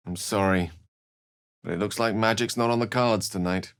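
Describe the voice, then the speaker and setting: A man's voice: lowish voice, steady tone, moderate pace, a bit bright, smooth, no echo, clean studio sound. A man voicing an eloquent, courtly wizard companion in a fantasy video game, speaking formally and theatrically. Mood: regretful, tender. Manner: articulate, formal, theatrical phrasing. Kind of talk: fantasy video game voice acting, dramatic narration and dialogue.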